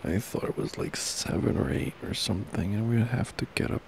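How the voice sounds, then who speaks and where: A man doing an intimate boyfriend ASMR roleplay close to the mic, murmuring softly, drowsily and affectionately. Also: a little fast, a little hiss or hum. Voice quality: low, gravelly, creaky. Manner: soft, drowsy, affectionate murmuring, often breathy half-whisper. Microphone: close ASMR microphone.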